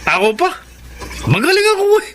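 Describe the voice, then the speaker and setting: A man's voice: very high-pitched, huge pitch swings, fairly quick, fairly bright tone, a little hiss or hum, exaggerated voice. A man with a Filipino accent playing a cocky, lying boyfriend in an exaggerated, wheedling radio drama manner. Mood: smug, boastful. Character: cocky, lying freeloader boyfriend, hard-drinking charmer.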